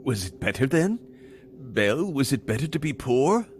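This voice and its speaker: A man's voice: mid-range voice, very animated, moderate pace, a little mellow, natural voice. An actor playing an earnest, defensive, pragmatic suitor in his prime in a radio play, arguing through pointed questions. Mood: pleading, defensive. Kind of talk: theatrical radio play acting. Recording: noisy ambience behind the voice.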